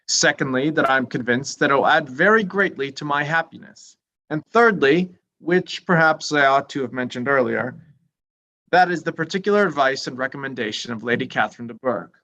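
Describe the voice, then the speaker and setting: A man's voice: mid-range voice, pushed and bright, poor audio. An actor playing a pompous, self-important young clergyman in a staged reading of a period drama, speaking formally and at length. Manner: pompous, long-winded, formal.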